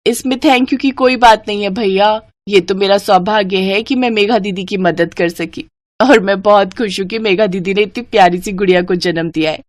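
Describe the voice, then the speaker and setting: A woman's voice: mid-range voice, moderate pace, dry recording, close to an everyday voice. A woman voicing a kind, hardworking woman vegetable seller in a moral story, respectful and eager to help. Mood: reassuring, glad. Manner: respectful, reassuring, helpful. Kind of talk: Hindi moral story character dialogue.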